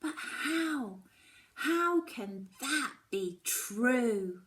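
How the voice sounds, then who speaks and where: A woman's voice: a little high, expressive, extremely slow, fairly bright tone, pitched above the performer's own voice, full character voice. A woman voicing an angry, hurt schoolgirl in a storybook, with an impassioned, emphatic delivery. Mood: distressed, insistent. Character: angry, hurt schoolgirl narrator, confronting her mother.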